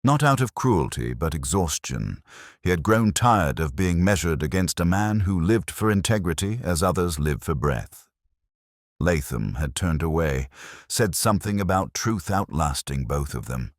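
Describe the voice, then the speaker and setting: A man narrating a mystery drama as a brooding, reflective detective inspector, in a measured, solemn and literary delivery. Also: low voice, expressive, no echo, studio quality. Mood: reflective, sombre. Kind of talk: scripted first-person mystery narration.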